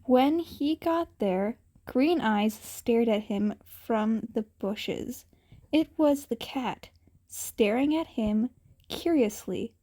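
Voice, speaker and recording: Female narrator for amateur story reading: high voice, slow, measured pacing. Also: lively intonation, dark tone. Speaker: fan fiction narrator.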